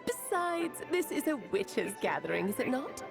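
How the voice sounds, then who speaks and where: A woman's voice: sits high, very animated, moderate pace, creaky, music in the background, exaggerated voice. A woman voicing a bold, defiant witch in a video game, proud and declamatory.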